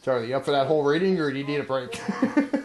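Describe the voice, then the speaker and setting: A man's voice: mid-range voice, fast, crowd noise. A man hosting a casual, profane podcast chat, speaking emphatically and often repeating himself. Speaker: show host.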